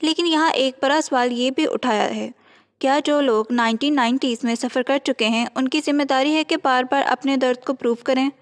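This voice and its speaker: A woman's voice: high voice, brisk, in a large hall. A woman with an Indian accent presenting scripted entertainment news, mixing Hindi with frequent English words. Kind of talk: scripted celebrity gossip narration.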